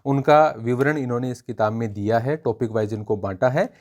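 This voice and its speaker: A man giving an informal spoken review for students as an exam coach, explaining in a measured way and pointing out examples. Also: medium pitch, darkish tone.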